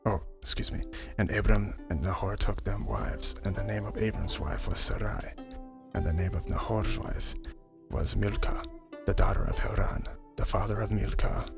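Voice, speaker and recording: Deep whispery man reading scripture. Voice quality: whispery, breathy delivery. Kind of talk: hushed ASMR scripted Bible narration. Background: background music.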